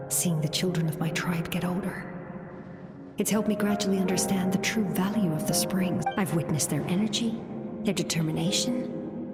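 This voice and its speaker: Low, echoing seasoned warrior woman voiced by an actress. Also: lightly acted. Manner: reflective, affectionate storytelling. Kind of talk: dramatic video game voice acting. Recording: echoey reverb under loud music.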